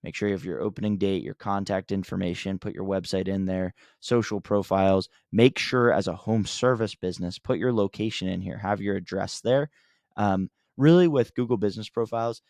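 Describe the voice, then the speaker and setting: A man's voice: fairly low pitch, gravelly, no room sound, studio quality. A man hosting an unscripted marketing tutorial, talking in a plain-spoken, conversational way as he gives emphatic advice. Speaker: host of marketing tutorial for contractors. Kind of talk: casual unscripted instructional talk.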